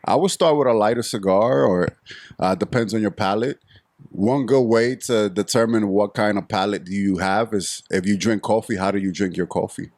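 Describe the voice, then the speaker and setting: A man's voice: lowish voice, moderate pace, a little mellow, no room sound, clean studio sound. A man from the Dominican Republic, a cigar shop owner, speaking as a guest in an earnest, patient way and explaining things carefully. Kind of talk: casual interview chat.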